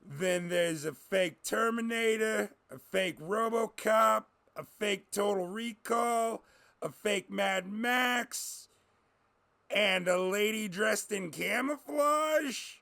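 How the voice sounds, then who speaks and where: Guy doing a mouthy sarcastic duck hero, raised pitch, bit of gravel, audio story. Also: slow pace, sharp tone, exaggerated voice. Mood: indignant, sarcastic. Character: brash wisecracking anthropomorphic duck, adult male adventurer.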